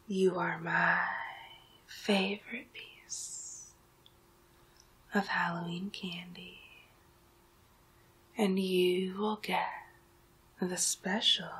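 A young adult woman performing an ASMR roleplay as a magical enchantress, whispering breathily in a hypnotic, drawn-out way. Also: on the low side, bright, forceful tone, a little hiss or hum, poor audio. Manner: slow, hypnotic, drawn-out phrasing. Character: magical enchantress turning listener into Halloween candy.

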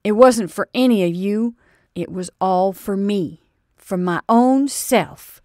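A woman voicing an earnest old male sea captain who talks in loud, salty seafaring phrases. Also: medium pitch, takes it slowly, dry recording, studio quality, close to an everyday voice.

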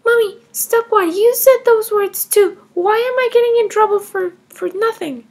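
A woman voicing a defiant, bratty kindergarten girl in a comedy skit, with a high, whiny child's voice and a sassy, stubborn way of talking. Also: normal speed, darkish tone. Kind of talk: comedy skit role-play.

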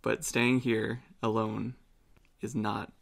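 A woman's voice: extremely low pitch, flat delivery, slow pace, vocal fry. A woman playing a tabletop game, chatting and role-playing in a casual, playful way.

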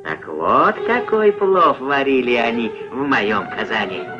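A man's voice: medium pitch, very animated, a little slow, voice pushed down. A man voicing a greedy, pompous, fat rich man from a fairy tale, demanding and blustering. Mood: indignant, commanding. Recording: dull vintage film soundtrack, music behind.

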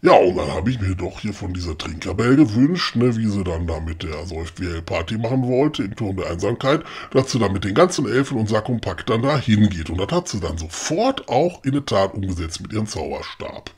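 Actor as old puppet-maker father: low, raspy, grumpy, inquisitive, colloquial comic dialogue. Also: lively intonation, a little fast, hardly any pauses, darkish tone, exaggerated voice. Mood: questioning. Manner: colloquial, grumbling, questioning with tag "ne". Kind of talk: comedic audio play dialogue.

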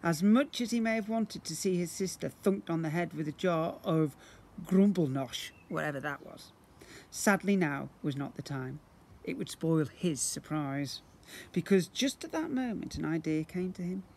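A British man in his fifties reads a children's storybook aloud like a teacher, theatrically, with drawn-out emphasis and acted sound words. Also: on the high side, animated, a little mellow. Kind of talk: expressive children's storybook reading. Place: outdoors beside brick houses. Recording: noisy outdoor amateur video recording.

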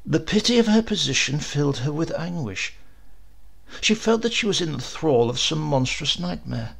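A man reading a horror story as an audiobook narrator, theatrical and suspenseful, with emphatic swells and hushed drops. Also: a little high, lively intonation, normal speed, creaky.

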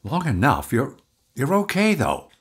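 An agitated, conflicted partner in an audio drama, acted by a woman. The voice is very deep and husky, jumping around in pitch. The delivery is urgent and halting. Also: huge pitch swings, full character voice. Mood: worried, relieved. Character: agitated armed partner named Pete, desperate and torn.

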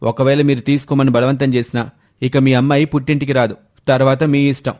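A man's voice: mid-range voice, flat delivery, fairly quick, darkish tone, natural voice. Man playing firm, offended son-in-law. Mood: indignant. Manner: firm, indignant. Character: proud, offended adult son-in-law, stern and unyielding. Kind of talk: animated moral-story character voice.

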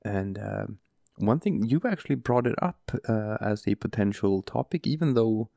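A male podcast co-host with a booming voice, talking softly and slowly right next to the microphone in casual chat, often chuckling. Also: animated, no room sound. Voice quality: booming, gravelly voice. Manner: soft, close-mic murmur, chuckles often. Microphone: close studio mic.

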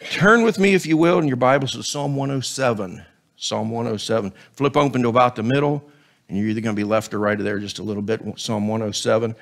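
A man's voice: medium pitch, creaky. Scratchy-voiced man preaching from a pulpit. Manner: conversational, joking asides between scripture. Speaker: guest preacher. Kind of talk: sermon. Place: church stage at a pulpit. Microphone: headset mic.

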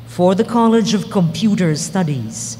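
An emcee makes formal, unhurried announcements over the sound system of a large ceremony hall. Long reverb trails each phrase, with faint noise underneath. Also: medium pitch, animated, dark tone.

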